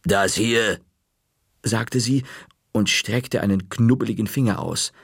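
A man narrating a children's story in a measured, dry-humored way. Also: on the low side, creaky, breathy edge, no echo.

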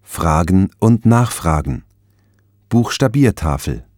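A deep male voice reads out textbook exercise instructions slowly, with lively shifts in pitch. The delivery is scripted, measured and instructional. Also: no echo, clean studio sound. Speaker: language course narrator.

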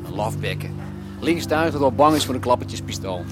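An actor playing a cocky gang enforcer in a radio play, sneering and coolly threatening. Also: male voice, medium pitch, lively intonation, a little fast, music in the background, close to an everyday voice.

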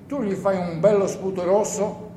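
A man's voice: high-pitched, steady tone, normal speed, mellow, natural voice. A man playing a weary, sardonic partisan fighter in a theatrical radio play, rambling and needling his listeners with rhetorical questions. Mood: sardonic teasing. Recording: roomy echo with faint noise.

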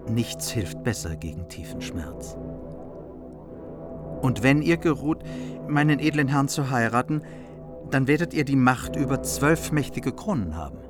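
A man's voice: mid-range voice, big pitch swings, unhurried, long pauses. A man playing an earnest, courteous margrave pleading as an envoy in a German radio play. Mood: consoling, persuasive. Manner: formal, courteous, persuasive. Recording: music behind the voice. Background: music.

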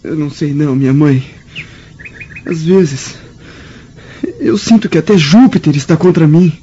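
Man as mellow, brooding young Roman soldier. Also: on the high side, a little slow, muffled recording, slight background noise, natural voice. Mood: despondent. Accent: Brazilian. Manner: formal, earnest. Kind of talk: radio drama acting.

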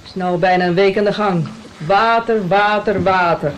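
High-voiced, grumbling male innkeeper. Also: normal speed, fairly bright tone, slight background noise, poor audio. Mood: gruff, grumbling. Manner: blunt, grumbling. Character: gruff, grumbling village innkeeper. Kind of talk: radio play acting.

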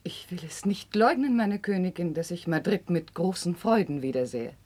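A worldly young princess, deferential, deep husky voice, 1950s classical radio play. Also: female voice, expressive, normal speed, darkish tone. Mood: deferential, poised. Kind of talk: 1950s German classical radio drama acting.

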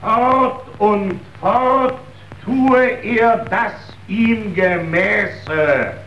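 An actor playing a stern, self-righteous cattle trader in a chorus, chanting emphatically in 1930s radio drama style. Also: male voice, high-pitched, very slow, a bit bright, heavily acted. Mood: stern, emphatic. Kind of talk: 1930s radio choral declamation. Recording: echoey, muffled, noisy, distant 1932 radio recording.

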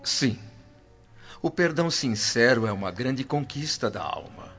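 A man's voice: medium pitch, expressive, unhurried, a bit bright. A man acting a wise, serene spirit in a radio drama, with a formal, instructive delivery. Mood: serene, earnest. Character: wise, serene male spirit teaching forgiveness. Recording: dull lo-fi audio with music.

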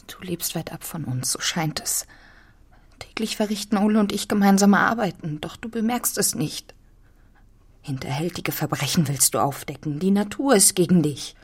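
An actress playing a cold, defiant farmer's wife in a radio drama, speaking formally and with cool scorn. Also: female voice, lowish voice, expressive, moderate pace, slightly rough. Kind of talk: German literary radio drama acting.

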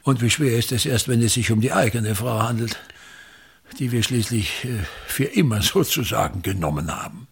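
An older actor with an Austrian accent playing a pompous, tyrannical actor-manager in a radio drama, grumbling in a declamatory way with drawn-out emphatic words. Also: male voice, mid-range voice, lively intonation, vocal fry, slightly rough, full character voice. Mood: bitter ranting. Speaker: stage actor in a radio play. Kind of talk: theatrical radio drama monologue.

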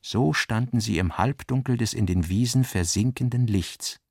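A man's voice: mid-range voice, normal speed, gravelly, husky, no room sound, studio quality. A man reading literary narration for a radio drama in a scripted style.

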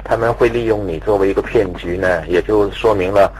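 A man's voice: lowish voice, fairly even intonation, a little fast, darkish tone. A man asking questions in a conversational radio interview, polite and deferential in his phrasing. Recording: faintly noisy radio interview recording.

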